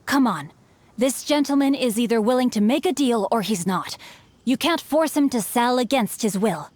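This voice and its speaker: A poised, gallant young swordsman, courteous yet sharp-tongued, in a light raised register. A faint hiss runs beneath. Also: on the high side, lively intonation, normal speed, no room sound.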